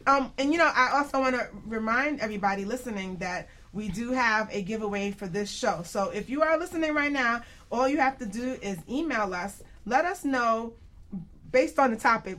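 Higher-pitched, giggly woman radio host. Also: a bit bright. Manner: chatty, giggly, laughs often. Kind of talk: casual radio talk.

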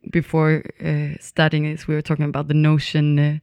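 A young adult, talking in a measured, reflective way with plenty of pauses and fillers. The voice is smooth and resonant at a middling pitch. It is close and dry on the mic, with no room sound. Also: completely flat delivery, no room sound, lo-fi sound. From Denmark. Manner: thoughtful, hesitant, many pauses and fillers. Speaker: dance student. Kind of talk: reflective conversation.

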